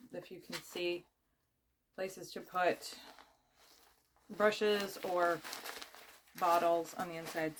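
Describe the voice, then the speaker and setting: A woman's voice: mid-range voice, a little hiss or hum, low-quality recording. Woman with a cold, chatty unboxing. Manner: chatty, rambling, many fillers and restarts. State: has a cold, losing her voice. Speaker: vlogger. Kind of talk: casual unboxing chat.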